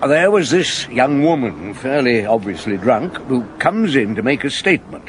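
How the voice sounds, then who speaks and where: An actor playing a seasoned, wry police chief inspector telling of old cases in a conversational radio drama. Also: male voice, mid-range voice, big pitch swings, moderate pace, slight background noise, natural voice. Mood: wry, recounting.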